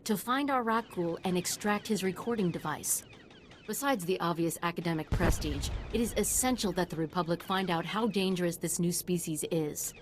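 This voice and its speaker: A woman's voice: fairly low pitch, slight background noise, close to an everyday voice. A woman playing an eager, nerdy scientist in a video game, precise and absorbed. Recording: game music underneath.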